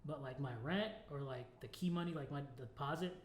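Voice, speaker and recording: An American man with a slightly high voice chats informally as a podcast co-host, his speech peppered with "like". The room is noticeably echoey, and a faint noise sits behind him. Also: a little fast. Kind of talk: casual podcast conversation.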